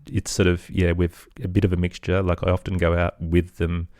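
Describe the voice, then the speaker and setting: A man's voice: low voice, completely flat delivery, moderate pace, a little mellow, dry recording, studio quality. A man with an Australian accent, chatting casually as an interview guest about his work. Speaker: interview guest, children's podcast creator.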